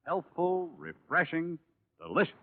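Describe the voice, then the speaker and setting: Casting: male American vintage announcer, animated and fairly high, polished sales delivery. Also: a little slow, very noisy. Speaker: radio announcer. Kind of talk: 1950s radio announcing and sponsor ad. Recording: dull vintage radio broadcast, music.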